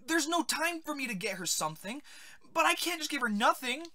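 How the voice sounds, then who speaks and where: A woman's voice: high-pitched, very animated, fairly quick, bright, forceful tone, heavily acted. A woman voicing an anxious, flustered young male monster boyfriend in a cartoon, stammering and fretful. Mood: panicked. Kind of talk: animated web series voice acting.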